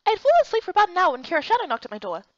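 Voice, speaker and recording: A woman giving expressive first-person scripted narration of a fantasy story. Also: extremely high pitch, racing, no echo, lo-fi sound. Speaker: narrator and voice actor for a visual novel playthrough.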